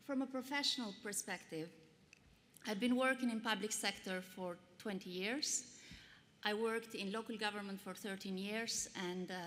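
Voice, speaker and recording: A woman in her forties with an Albanian accent, giving an earnest, measured conference pitch with frequent fillers. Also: fairly bright tone. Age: 40s. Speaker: pitch presenter. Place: large conference hall. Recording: long hall reverb, faint noise.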